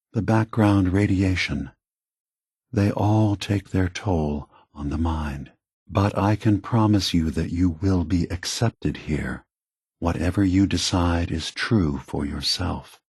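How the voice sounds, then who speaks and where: An actor playing a calm, weary, philosophical android leader in a video game, speaking in a measured, gentle way with a husky voice. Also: male voice, sits low, no room sound, clean studio sound, full character voice. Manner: measured, deliberate, gentle, reflective.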